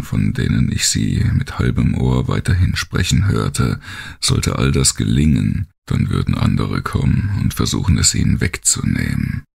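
A man narrating a first-person thriller audiobook in a gravelly, husky voice, with brooding, deliberate and dramatic phrasing. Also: very deep voice.

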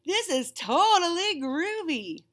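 A woman's voice: very high-pitched, sing-song, slow, a bit bright, voice pushed up, full character voice. A woman voicing a small, eager, plucky cat from a children's storybook with exuberant, sing-song declarations. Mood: excited.

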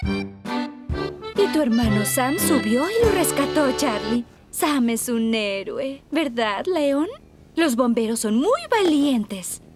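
A woman's voice: high-pitched, very animated, slow pace, exaggerated voice. An actress voicing a kind, reassuring mother in a Latin American Spanish children's cartoon dub. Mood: admiring. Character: kind, reassuring mother of a small-town family. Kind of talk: animated children's series dub. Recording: loud music behind the voice.

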